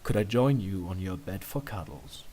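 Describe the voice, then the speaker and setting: A man's voice: deep voice, expressive, normal speed, mellow, pitched below the performer's own voice. An earnest young stallion of the royal guard in a storybook tale, played by a man. He speaks formally and courteously, flustered yet wry, with a theatrical pleading manner.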